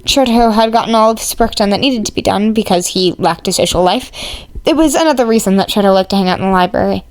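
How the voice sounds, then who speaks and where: A woman's voice: medium pitch, fast talker, no room sound. A woman reading scripted story narration as an audiobook narrator.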